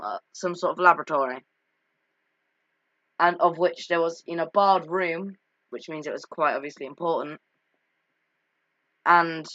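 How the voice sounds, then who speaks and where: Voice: mid-range voice, pushed and bright, no room sound. Dull lo-fi mic, dry close sound, casual game commentary, unscripted, hesitant, self-correcting, self-deprecating. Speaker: video creator commentating on game cutscenes. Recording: slightly dull lo-fi home mic.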